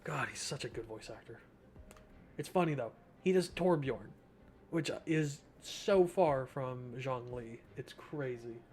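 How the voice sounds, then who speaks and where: A man's voice: medium pitch, unhurried, a little mellow. A young man giving casual, slangy, joking gameplay commentary as a streamer. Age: young adult. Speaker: game streamer.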